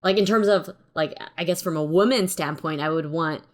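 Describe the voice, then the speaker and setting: Female co-host, low-pitched voice, conversational and tentative, informal movie review. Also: moderate pace. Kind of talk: casual film review chat.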